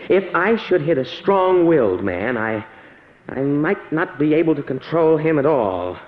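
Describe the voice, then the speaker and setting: A man's voice: a little high, very animated, unhurried. An earnest young male research assistant speaks with dramatic deliberation. His delivery rises and falls animatedly, intense and respectful, through a muffled old radio recording with a long reverberant tail. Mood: thoughtful. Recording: echoey, dull vintage radio recording.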